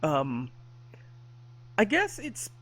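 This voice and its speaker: A man chats on a podcast, pausing often with fillers and correcting himself mid-sentence. His voice sits a little high for a man. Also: huge pitch swings, fairly bright tone. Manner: hesitant, many fillers and self-corrections. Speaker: podcast co-host. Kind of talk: casual chat.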